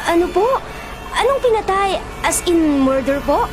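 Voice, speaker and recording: A woman's voice: very high voice, expressive, a little slow, low-quality recording. An actress playing a chatty, caring, nagging young woman companion in a Filipino radio drama, with a Filipino accent. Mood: curious, worried. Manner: talkative, fussing.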